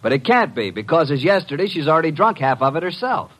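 An actor playing a brash, wisecracking young detective's assistant, breezy and slangy, in 1940s radio style. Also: male voice, fairly high pitch, big pitch swings, quick pace, a bit bright. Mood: skeptical.

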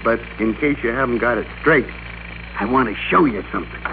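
An actor playing a meek middle-aged American office worker turned blustering, bossy master, in emphatic classic radio drama style. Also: male voice, medium pitch, a little fast, natural voice. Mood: gloating, threatening. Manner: emphatic, theatrical, commanding. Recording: muffled classic radio recording with hum.

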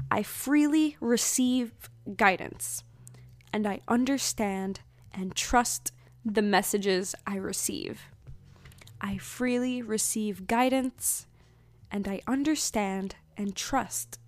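A woman's voice: medium pitch, dry recording. A young woman rambling through a weekly oracle card reading in a casual, chatty way, full of ums and asides. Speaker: spiritual podcaster.

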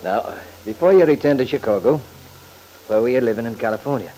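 An actor in a classic radio soap opera playing a formal, measured lawyer questioning a witness, on a noisy old recording. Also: male voice, medium pitch, animated, dark tone, close to an everyday voice. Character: measured, probing male courtroom lawyer.

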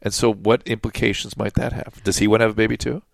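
A middle-aged man hosting a casual podcast as a doctor, often halting and repeating his words as he talks. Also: on the low side, level tone, vocal fry, no echo. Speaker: podcast host, addiction doctor.

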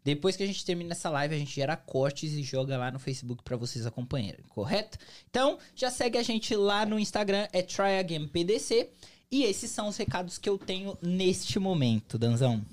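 A man co-hosting a live podcast who talks in a jokey, animated way while reading out notices and introducing the guest. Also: fairly quick. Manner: animated, jokey, swings pitch a lot. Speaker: podcast co-host. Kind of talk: casual live podcast chat.

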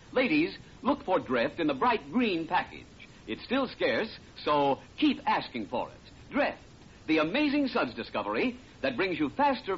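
A man announcing a 1940s radio commercial with emphatic, deliberate, scripted sales delivery. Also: fairly bright tone. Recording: muffled, rumbly, noisy old radio recording.

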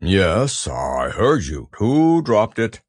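A man reading a storybook aloud, voicing a gloomy, self-pitying old male donkey in a slow, mournful drawl. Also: on the low side, wildly swooping pitch, dry recording, studio quality, exaggerated voice.

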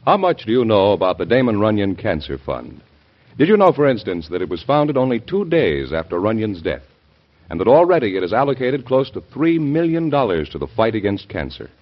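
Lively, low-pitched vintage radio announcer man. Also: fairly quick. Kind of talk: 1950s radio charity appeal, scripted. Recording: dull old radio recording.

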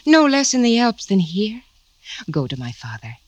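An actress playing a general's ardent, scheming young daughter, breathy and melodramatic in a radio drama. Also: female voice, mid-range voice, very animated, normal speed, darkish tone, no echo, dull audio. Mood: urgent, coaxing. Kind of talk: 1950s radio drama acting.

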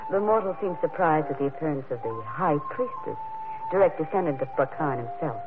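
An actress playing a haughty, scheming high priestess with a cool, formal, imperious manner in an old radio drama. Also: female voice, expressive, a little fast, background noise. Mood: haughty, possessive. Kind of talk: 1940s radio drama acting. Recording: narrow vintage radio recording, faint music.

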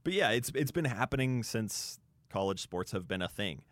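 A man with a fairly low voice talks sports casually as a radio co-host. He thinks aloud a little hesitantly, recorded dry and close. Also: expressive, moderate pace, fairly bright tone, dry recording.

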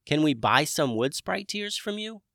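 A man's voice: a little high, very animated, unhurried, gravelly, sharp tone, dry recording, studio quality, voice pushed up, exaggerated voice. A man voicing an earnest, polite male dragon who talks hesitantly.

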